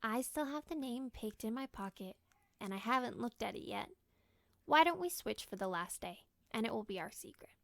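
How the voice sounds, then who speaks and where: A caring mother in a storybook, played by a woman reader, comforting her child. Her voice is fairly high with some creak, soft and tender in manner, in a very dry recording. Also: expressive, normal speed, no room sound. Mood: tender, reassuring.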